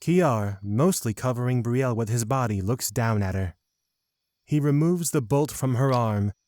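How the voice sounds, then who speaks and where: Male narrator, medium pitch, close dry sound, scripted fantasy storytelling. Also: expressive, unhurried, darkish tone, no echo.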